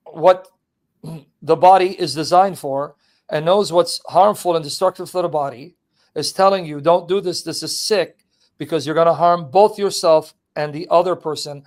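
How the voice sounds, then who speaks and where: A man's voice: fairly high pitch, a little mellow. A man hosting a debate, brash and mocking, firing questions at a caller. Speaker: host. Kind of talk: combative debate talk.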